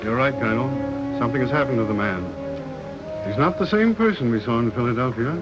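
Actor as brisk, earnest colonial gentleman. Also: mid-range voice, expressive, music in the background, close to an everyday voice. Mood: concerned. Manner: formal, courteous. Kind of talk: 1930s film acting. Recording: dull old 1930s film soundtrack.

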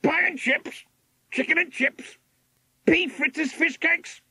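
A man's voice: extremely high pitch, slow, bright, forceful tone, dry recording, clean studio sound, pitched above the performer's own voice, exaggerated voice. A man voicing a brash, cheeky pet macaw that squawks out menu items in a storybook. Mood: brash, screeching.

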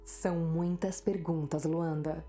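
A woman playing a calm, menacing artificial intelligence in an audio drama, speaking slowly and with composure. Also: low voice, soft background music. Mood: ominous. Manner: slow, composed, drawn-out.

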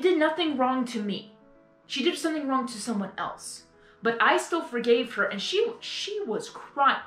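A young adult woman giving casual reaction commentary in an earnest, halting, repetitive way. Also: a little high, a bit bright. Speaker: reaction video host. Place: small bedroom, indoors. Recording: roomy bedroom echo.

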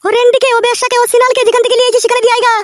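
Betrayed village wife, comedy cartoon. Squeaky, breakneck nagging and cursing, rural West Bengal accent, faint noise behind. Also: female voice, completely flat delivery, racing. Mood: furious. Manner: nagging, cursing, wailing rants. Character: furious betrayed village wife, shrill and scolding. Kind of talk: comedy cartoon dub, melodramatic quarrelling.

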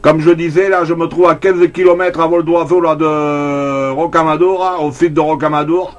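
A man's voice: fairly high pitch, steady tone, moderate pace, highs cut off. A middle-aged man from France chatting as a CB radio operator, repeating details and tagging his phrases. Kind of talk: radio contact chat.

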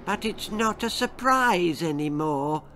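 A man's voice: sits high, animated, takes it slowly, bright, forceful tone, slight background noise, pitched above the performer's own voice, full character voice. An older man voicing a frail, very old grandmother, groaning and gasping, in a children's storybook reading. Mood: distressed.

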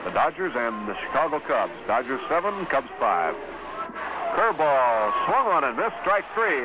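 An American man calling baseball play-by-play in 1950s radio style, in terse, unhurried phrases between pitches. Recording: noisy, dull 1950s radio broadcast.

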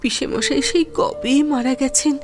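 An actress playing a timid, dutiful young widowed daughter-in-law in a Bengali audio drama, hesitant and deferential with a Bengali accent. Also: female voice, high-pitched, moderate pace, lightly acted. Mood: anxious, pleading. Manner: hesitant, stammering, pleading, deferential.

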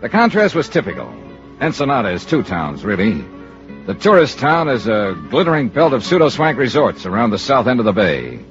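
A man's voice: mid-range voice, very animated, a little fast, soft background music, lightly acted. A muffled 1950s radio recording of an actor as a hard-boiled American male investigator. His mid-range voice moves up and down a lot while staying dry and wry. The lines are clipped and sardonic. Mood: brisk narration. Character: wry, cool male freelance insurance investigator. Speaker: lead actor and narrator of a radio detective serial. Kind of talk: 1950s radio detective acting, first-person narration.

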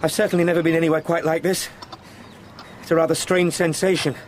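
A man acts an eager young English gentleman in a radio drama. His voice sits a little high, and his speech is formal and polite, with hesitant asides. Busy outdoor effects sound clearly behind him. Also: level tone, fast, background noise, lightly acted. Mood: awed, eager. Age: young adult.

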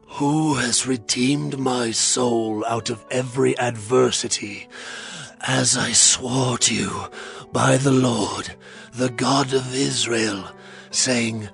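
Old solemn king, gravelly and very deliberate, in audio Bible drama over soft music. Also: medium pitch. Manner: slow, halting, deliberate phrasing. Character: old, solemn, dying king issuing royal commands.

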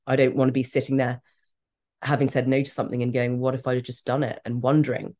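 A woman's voice: extremely low pitch, little pitch movement, dark tone. A middle-aged woman with a British accent, talking frankly and emphatically as a guest in an interview. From Australia. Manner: emphatic, frank, quick to laugh. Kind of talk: candid conversational interview.

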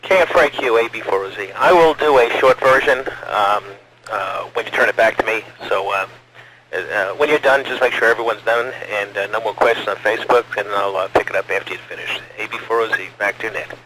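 A man's voice: lowish voice, brisk, pushed and bright. A man talking casually over a two-way radio as part of a net. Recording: noisy ham radio transmission.

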